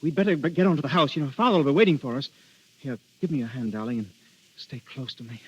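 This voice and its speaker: A man's voice: medium pitch, quick pace, husky, lightly acted. An actor playing an ardent, earnest young nobleman in a theatrical vintage radio drama. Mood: subdued. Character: ardent young nobleman, a duke's son and anxious suitor. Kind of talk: 1930s-40s radio acting. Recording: muffled old radio broadcast recording.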